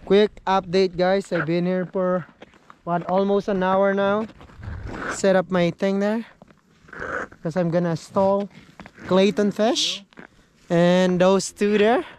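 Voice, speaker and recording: A man's voice: high voice, slow, long pauses, a little mellow. A young man with a sore throat, chatting casually to viewers as he vlogs from a frozen lake with a camera at arm's length. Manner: upbeat, chatty, hypes viewers. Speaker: fishing vlogger. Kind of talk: casual vlog narration. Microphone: camera held at arm's length. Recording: outdoor wind and ambient noise.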